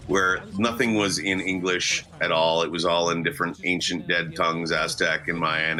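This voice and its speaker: A man's voice: deep voice, poor audio. A man giving a reflective interview as a voice actor, searching for his words as he goes.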